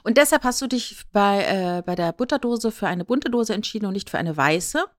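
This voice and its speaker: A woman's voice: medium pitch, expressive, brisk, no room sound, clean studio sound. A woman co-hosting a podcast, talking in a casual, conversational style. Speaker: podcast co-host.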